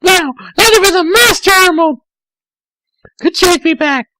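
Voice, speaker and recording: A man voicing a young, excitable cartoon fox turned into a girl, in a squeaky falsetto. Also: moderate pace, no echo, exaggerated voice. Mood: frustrated despair.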